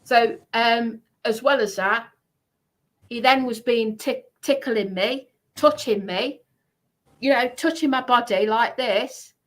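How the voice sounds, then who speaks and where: A woman's voice: fairly high pitch, slow pace, poor audio. A woman giving a heated personal account on a livestream, speaking in an indignant, emphatic way and repeating herself for stress.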